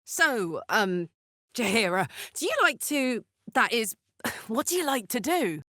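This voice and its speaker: A woman playing a brash, big-hearted tiefling barbarian in a video game, blunt, earthy and expressive. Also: fairly high pitch, unhurried, no echo, studio quality, heavily acted. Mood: sheepish, awed. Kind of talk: video game character voice lines.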